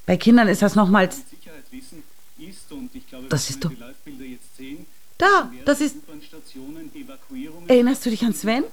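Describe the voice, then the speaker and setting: A woman's voice: a little high, animated, slow, long pauses. An actress in a German radio drama playing a gossipy, appalled woman with an insistent, exclamatory manner. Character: shocked, gossipy woman fixated on the television news.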